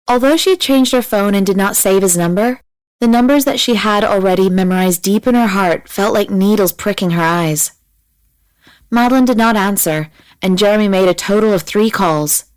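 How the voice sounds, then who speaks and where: A woman narrating a scripted audiobook in a measured, dramatic manner. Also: mid-range voice, no room sound, low-quality recording.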